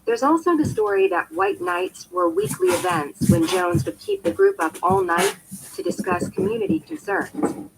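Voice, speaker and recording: A woman reading a scripted nonfiction audiobook in an expressive, emphatic storytelling manner. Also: very high-pitched, moderate pace, gravelly. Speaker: audiobook narrator. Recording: poor amateur copy, faint background noise.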